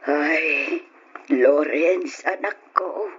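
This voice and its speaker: An actress playing a caring elderly mother in an old-style radio drama, consoling and counselling her grown son with gentle sighs. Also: deep voice, drawn-out words, slightly rough, exaggerated voice. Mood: pained, sorrowful. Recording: dull lo-fi radio drama recording.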